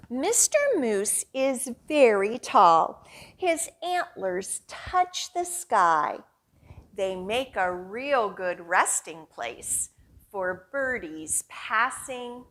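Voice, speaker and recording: Woman, children's storytime host, read-aloud style, animated intonation, unhurried pace, deliberate phrasing. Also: a little high. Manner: clear, deliberate phrasing aimed at young children.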